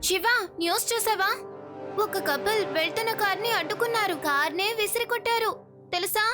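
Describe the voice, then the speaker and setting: A woman voicing a bright, earnest young cartoon girl who is quick to explain things. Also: very high voice. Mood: excited. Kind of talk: cartoon dub acting. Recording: music under the voice.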